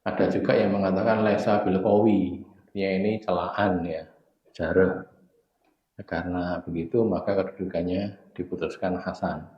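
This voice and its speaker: A hoarse man giving a religious study lesson, explaining narrator chains in a measured, teacherly way. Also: deep voice, fairly even intonation. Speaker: hadith teacher. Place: mosque study room. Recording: echoey room, dull lo-fi sound.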